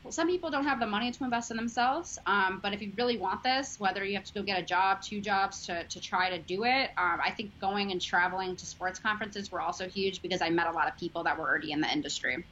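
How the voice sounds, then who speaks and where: A woman's voice: mid-range voice, fast talker, hardly any pauses, pushed and bright. A woman in her thirties with a Chicago accent, a sports journalist chatting earnestly in an interview with many fillers. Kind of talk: casual interview chat. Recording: dull lo-fi audio, faint noise.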